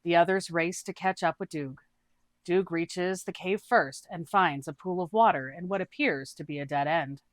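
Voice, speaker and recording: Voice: mid-range voice, normal speed, no echo. A person reading a scripted fantasy adventure story aloud as its narrator. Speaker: storyteller, tabletop campaign player.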